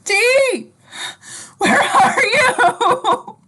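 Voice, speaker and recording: A woman's voice: very high-pitched, drawn-out words, sharp tone, heavily acted. A woman playing a terrified captive begging for her life, tearful and breathless, in an amateur screenplay read over a video call. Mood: panicked. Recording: home video-call audio.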